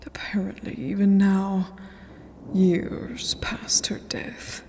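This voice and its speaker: A haughty, ageless vampire queen for a fantasy ASMR roleplay. Her words come extremely slowly in a regal, condescending half-whisper with a crackling fry. A long, cavernous reverb surrounds her. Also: mid-range voice, level tone, a little mellow. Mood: measured, regal. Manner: formal, measured, imperious, breathy half-whisper.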